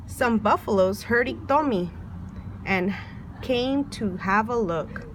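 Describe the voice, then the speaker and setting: A woman reading a children's folktale aloud in an expressive storytelling way, putting questions to the listener. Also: a little high, slow, slight background noise. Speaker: storybook reader, a mother.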